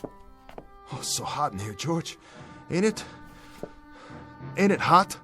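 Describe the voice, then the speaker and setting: An actor playing a nervous, meek small-time crook, stammering and pleading in a radio drama. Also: male voice, fairly high pitch, big pitch swings, takes it slowly, bright, forceful tone, full character voice. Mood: anxious, whining. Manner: stammering, repetitive, anxious, pleading. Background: music.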